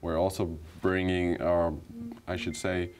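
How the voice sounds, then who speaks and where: Soft-spoken deep-voiced photographer man. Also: flat delivery, measured pace, a little hiss or hum. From Guyana. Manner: hesitant, many ums, soft-spoken. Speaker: photographer guest. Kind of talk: casual interview talk. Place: TV studio, indoors.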